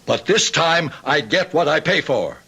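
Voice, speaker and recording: An actor playing a resigned, philosophical neighbourhood shopkeeper in a radio drama, measured and placating. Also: male voice, fairly high pitch, expressive, gravelly, bright, forceful tone, slightly rough, exaggerated voice.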